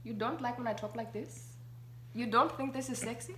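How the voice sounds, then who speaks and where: Give me a woman putting on a playful Indian accent, bright and roomy. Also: a little high, normal speed, a little hiss or hum, voice pushed up, lightly acted. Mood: teasing. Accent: Indian, put on poorly. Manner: playful, teasing.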